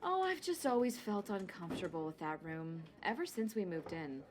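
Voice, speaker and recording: Radio play: female switchboard operator played by a woman, 1960s, young mother, cheerful and folksy, very expressive delivery. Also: lowish voice, moderate pace, noisy background. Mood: gently uneasy. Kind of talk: period radio play acting.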